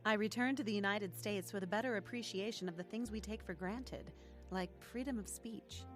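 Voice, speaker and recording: A woman's voice: mid-range voice, normal speed, soft background music, close to an everyday voice. An actress playing an earnest young woman who reflectively narrates her testimony in a radio drama.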